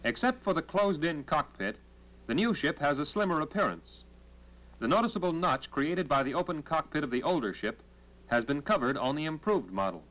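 An American man delivering scripted 1940s military training film narration in a formal, measured, instructional manner on a vintage film soundtrack. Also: a little high, expressive, fairly bright tone, low-quality recording.

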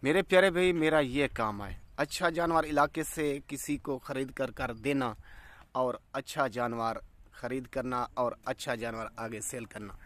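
A man's voice: medium pitch, measured pace, fairly bright tone, no room sound. Goat farm owner, 30s, salesman's patter, phone camera recording outdoors. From Pakistan. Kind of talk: casual vlog narration. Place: outdoors on a farm, village road.

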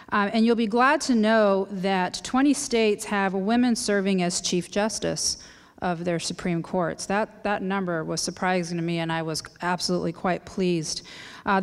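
A woman's voice: lowish voice, clean tone. A woman in her thirties, a law professor, speaking earnestly at a panel table with many filler words. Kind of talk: panel lecture. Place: large hall, seated at a panel table. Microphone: table microphone on a stand. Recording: reverberant lecture hall.

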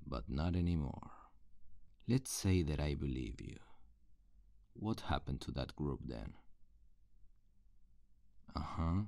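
A hardened, wary male survivor played by a man in an ASMR zombie roleplay. His voice is extremely low and gravelly, and he talks slowly with quiet menace, like an interrogator. The sound is close and dry. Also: dry recording, full character voice. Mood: suspicious. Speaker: audio roleplay voice actor. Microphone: close, dry studio-style microphone.

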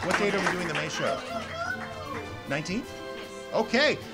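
A man hosts a live theater curtain call. His voice is pitched up as he presents the cast, with crowd and music behind him. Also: sing-song, normal speed. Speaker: theater host introducing the cast.

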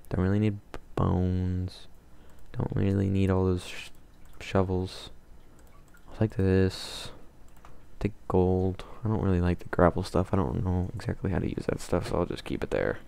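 A man calmly talking to himself while playing a game, in a soothing, mumbling way. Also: deep voice, a little slow, vocal fry, smooth. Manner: calm, mumbling, self-talking. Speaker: gamer. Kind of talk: casual let's play commentary.

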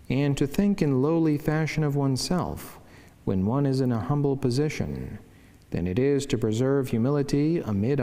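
A man, a priest, reading a scripted devotional in a measured, formal and reverent way. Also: mid-range voice, mellow. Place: wood-panelled church sanctuary, indoors. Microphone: lectern microphone. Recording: long church reverb.

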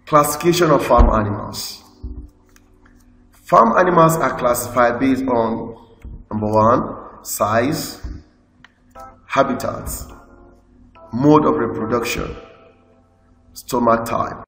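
A man with a Nigerian English accent, teaching a school lesson by slowly reading out lists from slides. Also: mid-range voice, vocal fry, fairly bright tone. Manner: slow, list-like reading with explanations. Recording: echoey room with long reverb, faint music.

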